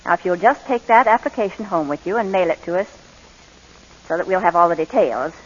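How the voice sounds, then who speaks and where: An actress playing a brisk, courteous but firm middle-aged orphanage inspector in a 1940s radio drama. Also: female voice, fairly low pitch, close to an everyday voice. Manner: composed, polite, businesslike. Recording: dull, lo-fi 1940s radio recording.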